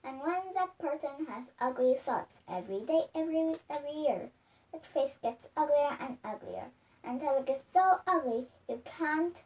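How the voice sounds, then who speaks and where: A young girl reading a storybook aloud in a careful, halting way. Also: high voice, lively intonation, darkish tone. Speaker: reader. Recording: distant microphone.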